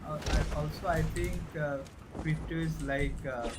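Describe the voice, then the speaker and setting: A man's voice: a little high, fairly even intonation, moderate pace, heavy background noise. A man in his twenties from Bangladesh chatting casually on a livestream, hesitant with many fillers and restarts. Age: 20s. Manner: hesitant, many uh and restarts, non-native phrasing. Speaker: stream guest. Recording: loud music behind the voice.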